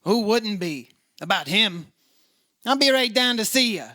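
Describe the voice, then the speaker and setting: A man's voice: high-pitched, expressive, unhurried, pushed and bright, a little hiss or hum, full character voice. A man playing a wry, breezy insurance investigator in 1950s-style radio detective drama, dry and wisecracking. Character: wry, breezy male insurance investigator, hard-boiled but kindly.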